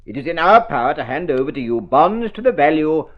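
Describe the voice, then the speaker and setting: An actor playing a fussy, formal lawyer in an old radio drama, speaking precisely with proper, hesitant phrasing. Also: male voice, fairly high pitch, big pitch swings, fairly quick. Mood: formal, measured. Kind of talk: golden-age radio drama acting. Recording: muffled vintage radio recording.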